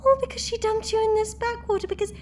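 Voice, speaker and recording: A woman's voice: very high voice, fairly even intonation, quick pace, slight background noise. A woman voicing a cruel, mocking female time-travelling villain who taunts her captives in a sing-song, theatrical way. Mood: taunting. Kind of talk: fan audio drama acting.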